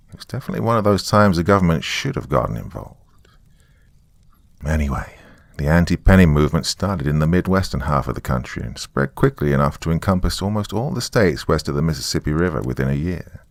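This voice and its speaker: Man playing a deep, gravelly, sardonic storyteller. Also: animated, fairly quick, no echo, exaggerated voice. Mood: wry, confiding. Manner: sardonic, dry, confiding. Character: wry, jaded divorced small-town man recording his account. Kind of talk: first-person horror story narration, conversational.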